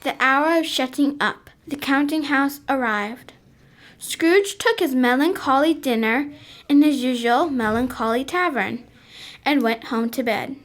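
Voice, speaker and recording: A child narrating a school radio play in a slow, careful way. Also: female voice, high voice, fairly bright tone, a little hiss or hum. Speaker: narrator and ghost.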